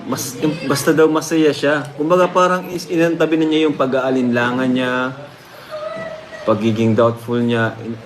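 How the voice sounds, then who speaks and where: A man's voice: medium pitch, flat delivery, moderate pace, over music. A man hosting a reaction video, commenting casually to his viewers and repeating phrases for emphasis. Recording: clear background noise.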